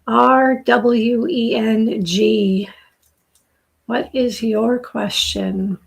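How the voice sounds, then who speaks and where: A middle-aged woman with a raspy, dry-throated voice, slowly and softly giving a live tarot reading as host. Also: a little high, steady tone. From southern New Hampshire. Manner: slow, soft, soothing intuitive reading.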